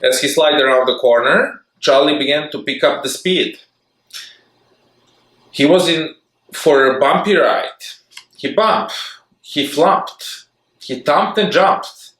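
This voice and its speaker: A man reading a children's storybook aloud in a lively, expressive, sing-song way. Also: a little high, takes it slowly, pauses often. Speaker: storybook reader. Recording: echoey room.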